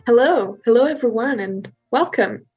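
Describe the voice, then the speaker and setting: A woman's voice: a little high, expressive, moderate pace, breathy edge, lo-fi sound, faint music behind. Swiss-woman co-hosting a podcast. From Italian-speaking Switzerland. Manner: earnest, explanatory, with some hesitations. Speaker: podcast co-host. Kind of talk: casual podcast chat.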